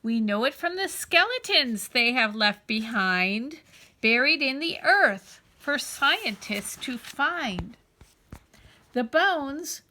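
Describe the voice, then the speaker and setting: Woman reading to children, very slow and expressive. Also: a little high. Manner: expressive, deliberate, emphatic delivery for young children. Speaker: children's story reader. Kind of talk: read-aloud children's picture book narration.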